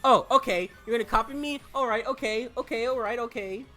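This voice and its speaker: A man giving joking gameplay commentary, animated and peppered with "like". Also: very high voice, a little fast, soft background music. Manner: animated, joking, heavy use of "like". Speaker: let's play host.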